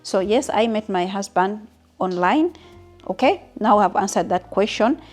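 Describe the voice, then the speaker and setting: A woman's voice: mid-range voice, lively intonation, a little slow, faint music behind. A woman in her fifties from Uganda, chatting casually and openly with her viewers in a question-and-answer vlog, often stopping to rephrase. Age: 50s. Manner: chatty, frequent restarts and asides to viewers. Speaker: vlogger.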